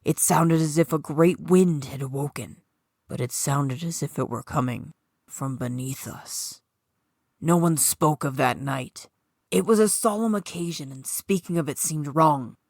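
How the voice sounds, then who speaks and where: Female narrator for supernatural fiction, scripted read, lower register, very dry recording. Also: a little mellow, no room sound. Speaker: author reading own short story.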